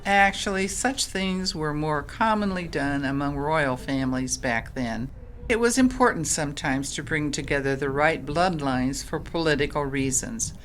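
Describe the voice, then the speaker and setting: A woman's voice: sits low, normal speed, slight background noise, close to an everyday voice. A woman playing a kindly, devout storyteller who earnestly teaches children in an audio drama. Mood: storytelling. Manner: earnest, instructive, addresses listeners by name. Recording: music playing behind the voice.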